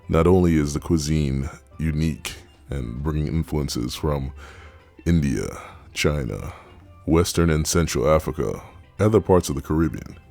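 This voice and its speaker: Super deep gravelly guy doing voice-over, dark mellow tone, soft music under it. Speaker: narrator. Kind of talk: scripted voice-over narration.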